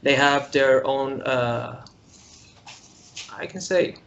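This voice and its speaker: A young man from Syria answers interview questions in a halting, careful way, pausing to find his words. His pitch sits in the ordinary middle range. The sound is muffled and lo-fi. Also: vocal fry, fairly bright tone. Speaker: interview guest. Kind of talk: casual interview. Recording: muffled lo-fi recording.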